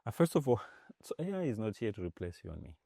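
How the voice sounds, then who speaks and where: A low, gravelly man from Zambia talks as a guest on an interview podcast. He is animated, restarting and repeating words as he explains ideas. The recording is close and dry. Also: quick pace, dry recording. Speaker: podcast guest, tech executive. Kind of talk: casual interview talk.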